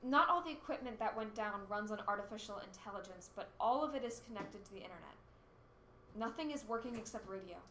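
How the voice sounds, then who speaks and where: A woman's voice: mid-range voice, lively intonation, quick pace, bright, forceful tone. A woman playing an anxious but determined radio host during a disaster, in a radio play. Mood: urgent, informative. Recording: roomy echo with faint noise.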